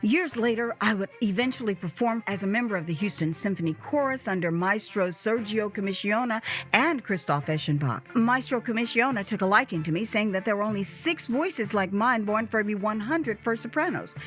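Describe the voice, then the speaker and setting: A woman reading her own memoir as dramatized storytelling, with a theatrical, expressive and emphatic delivery. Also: medium pitch, a little fast, hardly any pauses, faint music behind. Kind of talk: dramatized first-person storytelling.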